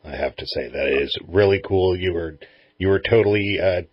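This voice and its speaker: Middle-aged man as interviewer, conversational, low-leaning slightly rough voice, muffled recording. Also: moderate pace, no echo. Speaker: radio show host, interviewer. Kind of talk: casual interview chat.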